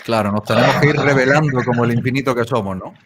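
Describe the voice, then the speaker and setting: A man's voice: mid-range voice, fast talker, background noise. A male host asking thoughtful questions with frequent hesitations. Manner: thoughtful, many hesitation fillers. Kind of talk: interview questions.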